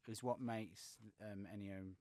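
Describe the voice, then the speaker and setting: Young man, deep-ish voice, dry teasing banter, hesitant fillers, podcast co-host. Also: steady tone, a little slow, warm tone, a little hiss or hum. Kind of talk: casual film podcast chat.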